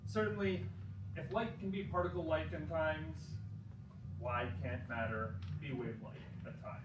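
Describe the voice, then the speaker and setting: A man giving a theatrical dramatic reading of textbook prose, mock-dramatic and halting, with deliberate pauses. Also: on the high side. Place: indoor theater, live performance. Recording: noisy, echoey theater, recorded from far off.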